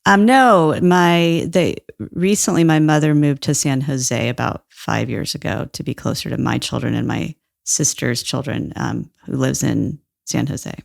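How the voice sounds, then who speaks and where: Gravelly-voiced middle-aged woman guest. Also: a bass voice, unhurried, few pauses, dry recording, clean studio sound. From Brazil. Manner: thoughtful, warm, laughs easily. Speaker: guest, employment lawyer. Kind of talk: casual interview talk.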